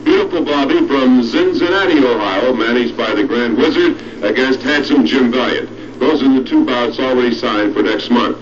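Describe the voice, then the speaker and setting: A man's voice: medium pitch, normal speed, slight background noise. Muffled wrestling announcer, a man on old tape. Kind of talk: broadcast match announcements and interviews. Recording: muffled, distant 1971 TV cassette recording, crowd behind.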